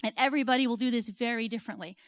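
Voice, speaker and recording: An American woman in her forties speaks to a room of listeners, earnest and personal, with a light laugh at her own expense now and then. Her voice sits a little high, and the sound is close and dry, with no room echo. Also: very fast. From United States. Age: 40s. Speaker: conference speaker. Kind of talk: conference talk. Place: stage at an event.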